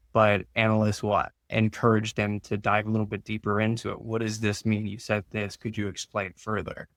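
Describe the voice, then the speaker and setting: Male interview guest, finance expert. Informal explainer, lower pitch, very even delivery. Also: dry recording.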